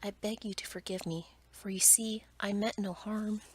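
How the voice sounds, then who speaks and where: A woman voicing a frightened, humble merchant father from a storybook in a breathy whisper, pleading politely and formally. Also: medium pitch, steady tone, normal speed, a little hiss or hum, close to an everyday voice.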